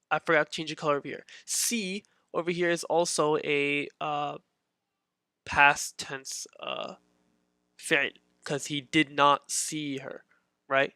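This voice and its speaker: A man's voice: fairly high pitch, pushed and bright, clean tone, dry recording. A man teaching a beginner grammar lesson in a casual, patient way, repeating his points with many fillers.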